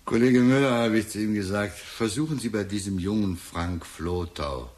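An actor playing a talkative, sly police detective in a radio drama, deliberate and insinuating, with falsely sympathetic musing. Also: male voice, on the low side, darkish tone, lightly acted. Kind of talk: German radio drama acting.

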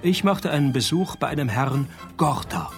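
An actor playing a wry, cynical private detective who narrates a parody noir radio play in a laconic, deadpan way. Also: male voice, medium pitch, moderate pace, soft background music, lightly acted. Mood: deadpan narrating.

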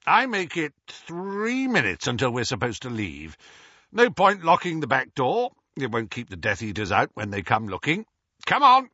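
A man voicing a gruff, suspicious old male wizard who barks orders in a growl, on a slightly dull recording. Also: medium pitch, wildly swooping pitch, moderate pace, pushed and bright, no echo, pitched above the performer's own voice, heavily acted. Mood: gruff commanding.

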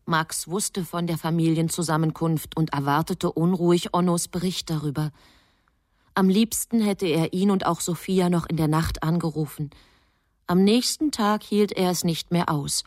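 A woman narrating a German radio drama in a sober, measured, literary manner. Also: fairly low pitch, steady tone, a little mellow, no echo, clean studio sound, natural voice.

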